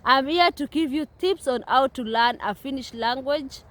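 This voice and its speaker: High-voiced Kenyan woman vlogging. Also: normal speed, a bit bright. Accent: Kenyan English. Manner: repetitive, earnest, many restarts. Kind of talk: casual talk to camera. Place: outdoors at a playground.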